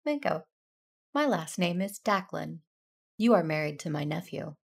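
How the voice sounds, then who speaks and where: A woman playing a calm, firm Jedi aunt in an audio drama, measured and persuasive, with a creaky voice. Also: on the low side, heavily acted. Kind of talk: audio drama acting with first-person narration.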